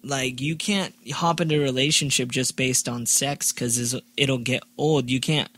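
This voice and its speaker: A man's voice: medium pitch, steady tone, normal speed, a bit bright. A man in his twenties hosting a podcast in a slangy, joking, casual chat style. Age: 20s. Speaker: podcast host.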